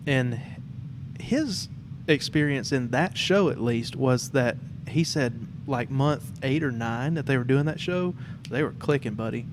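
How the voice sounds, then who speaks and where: A man's voice: medium pitch, unhurried, noisy background. A man hosting a podcast in a casual, conversational interview style. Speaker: podcast host.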